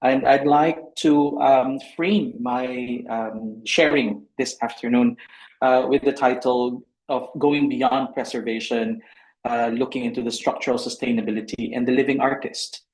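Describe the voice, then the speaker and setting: A man from the Philippines, a lecturer giving a formal talk on a video call, halting with many ums. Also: mid-range voice, steady tone, darkish tone. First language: Filipino language. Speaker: lecturer and panel speaker. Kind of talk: formal presentation. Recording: echoey room on a video call.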